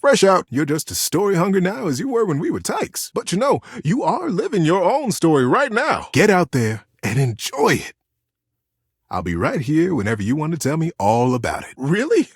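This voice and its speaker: A man voicing a devoted, sentimental teddy bear in a video game, talking in a gentle, folksy, earnest way with little stammers. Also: fairly high pitch, wildly swooping pitch, moderate pace, husky, no echo, clean studio sound, exaggerated voice. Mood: cozy, encouraging. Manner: folksy, earnest, cozy wording with hesitant stammers. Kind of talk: video game character acting.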